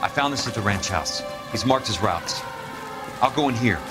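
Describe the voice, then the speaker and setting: A man's voice: mid-range voice, expressive, moderate pace, loud music behind the voice, natural voice. A man playing a commanding, terse investigator who takes charge, acted for a video game cutscene. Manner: terse, directive.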